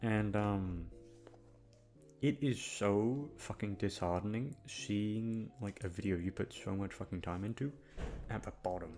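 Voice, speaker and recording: A man's voice: lowish voice, measured pace, a little mellow, soft background music. A man chatting casually to his listeners, rambling in a downbeat, complaining way and swearing freely. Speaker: channel host, audio voice actor.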